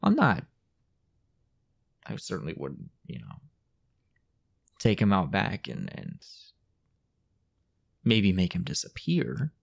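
A young man playing a possessive, jealous, dominant boyfriend in an intimate audio roleplay, halting and teasing, often dropping toward a whisper. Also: sits low, wildly swooping pitch, exaggerated voice. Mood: jealous, defensive. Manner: halting, teasing, breathy half-whispered asides.

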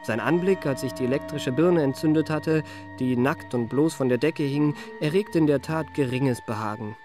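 An actor narrating a German radio play as an urbane confidence trickster recalling his youth, in measured, ornate, wryly genteel phrases. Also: fairly high pitch, over music, natural voice. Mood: wry, composed.

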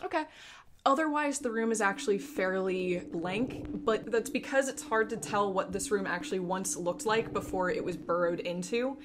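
A woman's voice: mid-range voice, moderate pace, noisy background. A woman narrating a tabletop fantasy game as its game master. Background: background music.

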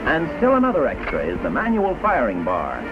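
Male American announcer, 1940s film-ad salesman: lively swooping delivery, emphatic patter, dull vintage soundtrack with loud music. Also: mid-range voice, brisk. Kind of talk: 1940s mock-advertisement newsreel narration.

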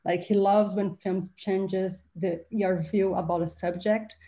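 Woman, 30s, film director, earnest, halting, mellow tone, dull video call audio. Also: mid-range voice, steady tone. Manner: earnest, halting, restarts phrases. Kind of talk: online panel discussion. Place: home video call, virtual library background. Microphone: webcam mic on video call.

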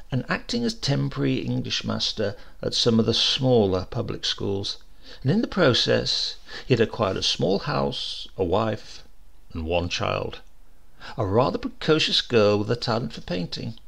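A man's voice: mid-range voice, vocal fry. A man reading a comic short story aloud as narrator, in a dry, wry storytelling manner. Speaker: audiobook reader.

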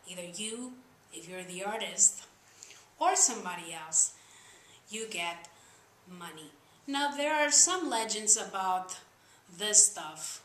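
A woman's voice: mid-range voice, a bit bright, reverberant, a little hiss or hum, poor audio. A young woman from Spain with a Spanish accent, talking casually to camera about songwriting in earnest, slightly uneven English. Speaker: singer-songwriter vlogger. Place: small room indoors.

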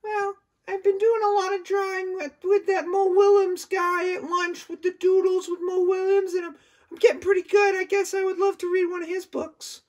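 A man voicing a timid little puppy, high and squeaky, hesitant and pleading. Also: little pitch movement, moderate pace. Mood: shy.

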